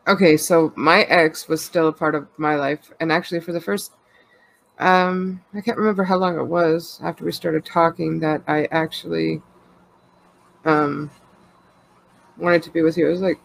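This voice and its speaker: A Canadian woman talks openly in a loose, rambling way, full of fillers and quick laughs. Her voice sounds stuffed up from a cold, with a gravelly fry running under it. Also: sits low, steady tone, rough recording. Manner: rambling and candid, laughs often, many fillers. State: congested with a cold. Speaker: podcast co-host. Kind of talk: casual personal chat.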